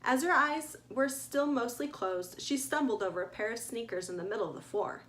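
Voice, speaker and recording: A woman author reading her adventure story aloud as an expressive narrator. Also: a little high, fairly quick.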